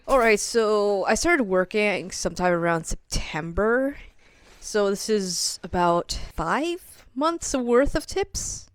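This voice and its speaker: A young woman chatting casually to her camera at home, talking quickly and stumbling over her words. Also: lowish voice, dry recording. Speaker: vlogger. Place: at home, indoors.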